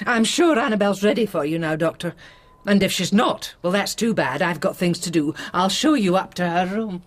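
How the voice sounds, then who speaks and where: An actress playing a tart, brusque, eccentric Scottish spinster in a radio drama, with a huffy, clipped manner. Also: female voice, lowish voice, lively intonation, moderate pace, dry recording. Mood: tart, dismissive.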